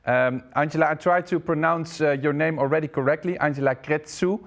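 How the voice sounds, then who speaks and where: A man's voice: on the high side, a little fast. Hesitant male interview host. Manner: hesitant, repeats words. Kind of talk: online interview.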